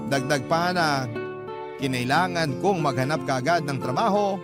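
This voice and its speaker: A man with a Filipino accent reading a listener's confessional letter as a radio host, in measured, confiding dramatic narration. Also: fairly high pitch. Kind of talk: dramatic first-person story narration. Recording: loud guitar music under the voice. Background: plucked guitar music.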